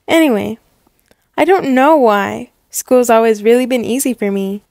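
A woman voicing a bookish, lonely, reluctant schoolgirl in a deadpan, sulky way for an animated story. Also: on the high side, moderate pace, gravelly, dry recording, clean studio sound. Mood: resigned, lonely. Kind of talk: animated roleplay story acting.

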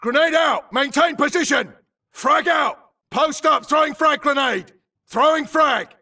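Casting: aggressive video game grunt, urgent clipped yells, harsh gravel and hard bright edge. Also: male voice, very high-pitched, a little slow, recorded from a distance, exaggerated voice. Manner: urgent, clipped battlefield yelling. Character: frantic, aggressive male grunt soldier in battle. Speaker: game voice actor. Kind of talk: shouted combat barks for a shooter game.

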